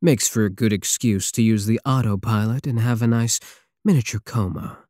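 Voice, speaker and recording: A man's voice: on the low side, a little mellow, no room sound, studio quality, close to an everyday voice. A man playing a big, teasing, possessive space pirate king in an intimate, sardonic ASMR roleplay. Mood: teasing, smug. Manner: slow, teasing, purring endearments, sardonic.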